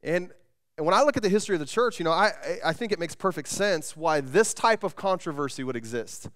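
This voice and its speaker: A man in his thirties preaches from a church stage through a clip-on mic, his voice smooth and resonant and sitting a little high. He talks in a loose, chatty way, stumbling over repeated words and restarting his sentences. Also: animated, fast, a bit bright. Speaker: pastor. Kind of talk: sermon. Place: church stage with band gear, indoors.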